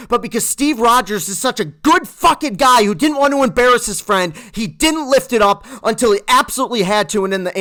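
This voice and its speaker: Excitable man recapping a movie. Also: high-pitched. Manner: rambling, animated storytelling with swearing. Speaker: movie reviewer. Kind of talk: excited, casual film recap.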